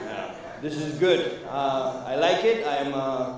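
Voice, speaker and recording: A man's voice: mid-range voice, lively intonation, measured pace. A man from Iran doing a live stand-up comedy set, chatting with the crowd in a rambling way with lots of fillers and restarts. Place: comedy club stage before a live audience. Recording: echoey room, voice from far off.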